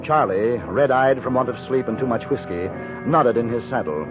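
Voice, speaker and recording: An actor playing a composed, wry English former cavalry captain, measured and articulate, in a 1950s radio drama with a British accent. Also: male voice, medium pitch, animated, narrow, tinny audio, lightly acted. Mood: reflective, watchful. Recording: band-limited vintage radio recording with music.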